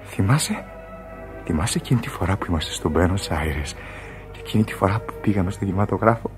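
A dying sailor in a Greek radio drama, with a lowish voice. He is weary, feverish and full of regret, and his halting words drift toward whispers. Faint music lies behind him. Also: animated. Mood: hazy nostalgia. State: weak, in pain. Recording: radio play with music underneath.

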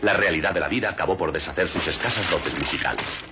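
An actor playing a solemn, mock-grave documentary narrator in a film comedy. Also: male voice, deep voice, fast talker, over loud music.

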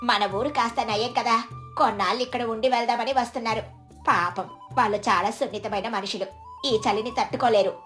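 Woman as a fussing, high-pitched village wife. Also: fairly even intonation, a little fast, a bit bright, soft background music, natural voice. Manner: chiding, fussing. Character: lazy, wily village wife, doting on relatives.

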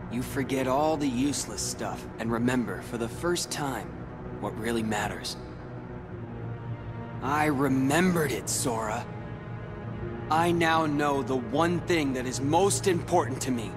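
Man as a slow-spoken, scornful teenage rival. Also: medium pitch, over music, close to an everyday voice. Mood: taunting. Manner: cool, taunting, deliberate. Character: cold, scornful, brooding teenage boy rival. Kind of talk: video game cutscene acting.

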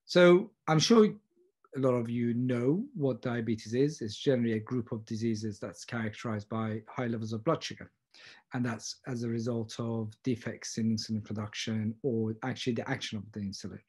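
A man, an eye surgeon, giving a relaxed webinar lecture over a computer microphone, with plenty of ums. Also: mid-range voice, moderate pace, slightly rough. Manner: relaxed, self-deprecating, frequent ums. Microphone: computer mic on a video call.

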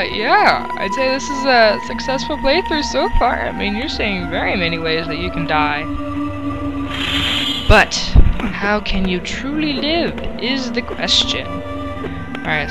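A woman's voice: medium pitch, rough recording. A woman giving casual, playful commentary as she plays a video game, joking around and taunting enemies. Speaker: let's play gamer. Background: loud game music.

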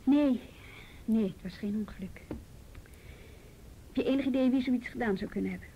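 A woman's voice: dull audio, natural voice. A woman playing a patient, persistent youth police officer in a radio drama, coaxing. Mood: gently probing. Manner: coaxing, probing, deliberate. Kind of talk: Dutch radio drama acting. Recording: old radio recording, faint rumbly noise.

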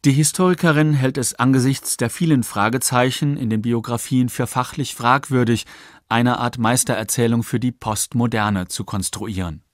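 Measured male documentary narrator, dry sound. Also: medium pitch, no room sound. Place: studio.

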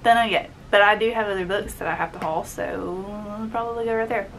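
A woman's voice: mid-range voice, fairly quick, background noise. A young woman with a Southern American accent talking casually as she tidies and shows off items in her small home office, thinking aloud as she works. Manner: chatty, upbeat, thinks aloud while tidying. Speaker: vlogger. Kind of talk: casual cleaning and haul vlog.

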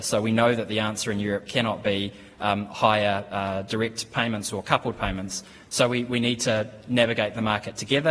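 New Zealand man in a reverberant hall. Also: on the low side. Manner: measured, steady, with frequent ums and restarts. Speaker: conference speaker. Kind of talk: business presentation. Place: conference hall, at a lectern. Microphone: lectern microphone. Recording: conference hall with long reverb.

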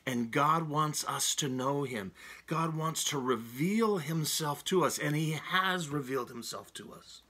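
A man's voice: on the high side, pushed and bright, slightly rough. A middle-aged man preaching a sermon from a room at home into a webcam, earnest and often restarting his words. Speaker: pastor. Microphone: webcam, seated close.

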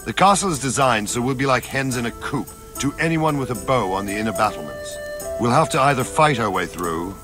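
A grizzled older male soldier played by an actor for a video game. He is plain-spoken and commanding, with a fatherly firmness. His mid-pitched voice rises and falls animatedly over clearly audible music. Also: lively intonation, fairly quick, natural voice. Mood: resolute, instructing. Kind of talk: dramatic video game cutscene acting. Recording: music behind the voice.

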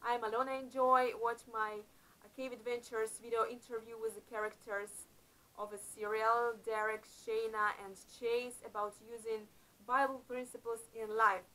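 A middle-aged woman narrating a Bible-themed adventure story to camera in a small room, earnest and a little halting. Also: on the high side, little pitch movement, sharp tone, low-quality recording. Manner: earnest, slightly halting phrasing with uneven emphasis. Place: small indoor room before a painted backdrop. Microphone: camera close up in front of her.

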